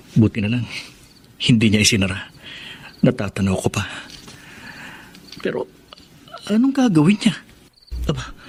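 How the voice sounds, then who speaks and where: A man's voice: on the high side, darkish tone, husky, heavily acted. An actor playing an adventurous, inquisitive young city man, in Filipino radio drama style with a Filipino accent.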